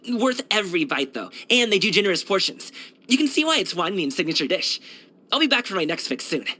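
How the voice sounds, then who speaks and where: A man's voice: sits high, very animated, fairly quick, sharp tone, full character voice. A man voicing an upbeat young kung fu street dancer and courier in a video game, breezy, slangy and chatty. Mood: chatty, cheerful. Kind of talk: video game character voice lines.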